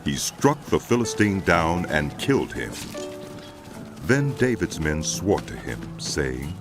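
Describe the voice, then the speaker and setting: Very deep male scripture narrator, over music. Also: big pitch swings, slow pace. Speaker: Bible narrator. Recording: music behind the voice. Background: background music.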